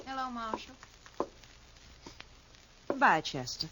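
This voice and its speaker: Coquettish saloon girl, old radio drama, swooping lively voice, faint hiss. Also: a little high, slow pace. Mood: coy. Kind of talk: 1950s radio drama acting.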